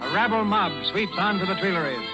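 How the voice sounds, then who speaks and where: A man's voice: high voice, expressive, brisk, low-quality recording. A man announcing and narrating a 1930s radio drama in a theatrical, declamatory style. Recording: old 1930s radio broadcast, loud music.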